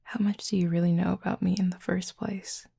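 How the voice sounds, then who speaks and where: A woman voicing a flirty, caring female werewolf in an intimate audio roleplay, in a hushed, unhurried, teasing but gentle murmur. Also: fairly low pitch, little pitch movement, no echo, studio quality, full character voice. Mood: reassuring, teasing. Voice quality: breathy, husky, fry-laden murmur.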